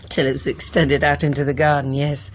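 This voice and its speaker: A woman's voice: low voice, flat delivery, moderate pace, close to an everyday voice. A middle-aged woman acting a composed, encouraging wife in a naturalistic radio drama.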